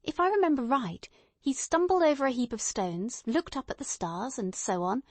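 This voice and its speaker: A woman reading the part of an earnest, easily astonished gentleman companion in an audiobook, formal and emphatic. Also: high-pitched, animated, moderate pace, no echo, voice pushed up. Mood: puzzled, intrigued.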